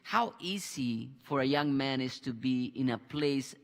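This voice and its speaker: Pastor hosting a Bible talk, hall reverb. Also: male voice, medium pitch, gravelly. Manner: halting, many restarts and fillers. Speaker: pastor and host. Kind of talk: conversational Bible discussion. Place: church studio with a screen behind. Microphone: headset mic.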